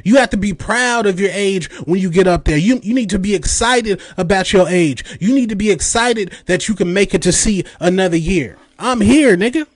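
A man's voice: high voice, normal speed, hardly any pauses, no echo. A man in his late thirties hosting a podcast, delivering a heated, profane, mocking rant. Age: 30s. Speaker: podcast host. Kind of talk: ranting commentary.

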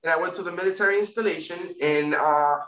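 A man with a background talks in a high, bright voice, restarting sentences as he goes. He sits some way from the mic in a room with a strong echo. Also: quick pace. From Puerto Rico, raised in Florida. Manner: rambling, repeats and restarts often. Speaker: guest, First Amendment auditor. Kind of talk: casual interview chat. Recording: echoey room, distant mic.

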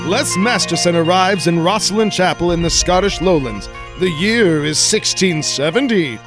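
Old-time serial announcer, male actor: high voice, dramatic scene-setting read, music clearly underneath. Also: lively intonation, moderate pace. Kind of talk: scripted scene-setting narration, 1940s radio acting.

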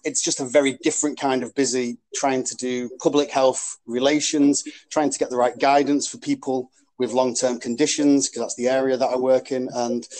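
A man's voice: medium pitch, a little mellow, low-quality recording. A man with a northwest English accent, a rugby club captain chatting casually as a podcast guest, full of ums and restarts. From Warrington, northwest England. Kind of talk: casual interview chat.

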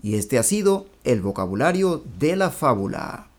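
A man's voice: mid-range voice, sing-song, normal speed. A man hosting a religious program, interviewing a guest with friendly, affirming interjections. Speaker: radio show host. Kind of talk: interview, presenting segments.

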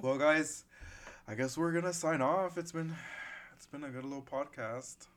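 A woman's voice: low-pitched, normal speed. A woman in her late twenties hosting a casual podcast, chatting loosely with lots of fillers. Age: late 20s. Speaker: podcast host. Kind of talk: casual chat.

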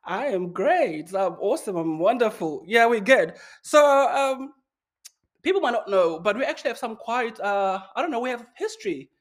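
An upbeat, chatty man hosts a casual interview and keeps the questions coming. His voice sits a little higher than most men's. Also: lively intonation, normal speed. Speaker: podcast host. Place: China.

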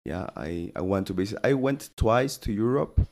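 A podcast host whose first language is Spanish, interviewing a guest in English. His voice is low, and his friendly questions come out in halting bits with repeated words. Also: expressive, gravelly, a little mellow. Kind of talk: casual interview.

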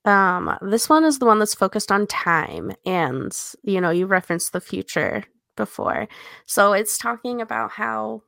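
A woman's voice: medium pitch, animated, a little slow, gravelly, a bit bright, no echo. A woman co-hosting a podcast, explaining her thoughts casually and checking in with small tag questions. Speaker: podcast co-host.

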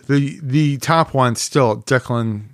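A man's voice: medium pitch, takes it slowly, dry recording. A man co-hosting a podcast in casual chat, with wry, dry humor. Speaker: podcast co-host.

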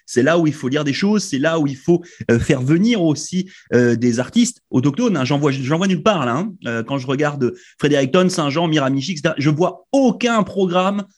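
European-born radio host, fairly high voice, passionate and halting. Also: male voice, lively intonation. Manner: emphatic, hesitant with many fillers. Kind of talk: radio panel discussion. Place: radio studio.

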